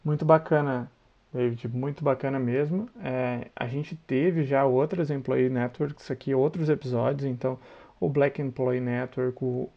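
A man's voice: mid-range voice, fairly even intonation, unhurried, vocal fry, muffled recording. A man hosting a podcast in a friendly, casual way, with many hesitation fillers. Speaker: podcast host.

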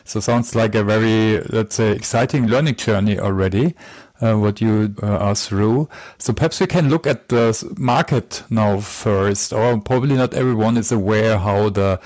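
A man's voice: on the low side, normal speed, a little mellow, dry recording. Hesitant male podcast host, dry sound. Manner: hesitant, with many uhs and "let's say". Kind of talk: podcast interview.

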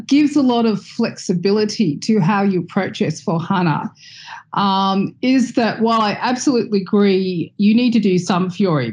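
A woman's voice: medium pitch, darkish tone. Chatty woman guest. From Australia. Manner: friendly, chatty, many ums and uhs. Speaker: guest expert. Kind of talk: podcast interview answers.

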